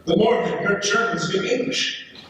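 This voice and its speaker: A man's voice: high voice, normal speed, lightly acted. A man playing a British soldier reading his letter home from the trenches in a readers theater performance. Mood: storytelling. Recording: very echoey hall, distant mic, noisy.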